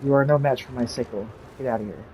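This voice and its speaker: A man's voice: medium pitch, fast talker, darkish tone, a little hiss or hum, rough recording. A man narrating a game tutorial over gameplay, rambling with lots of fillers, and he says he is losing his voice. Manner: rambling, filler-heavy, easily sidetracked. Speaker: gaming YouTuber. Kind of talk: casual gameplay tutorial commentary.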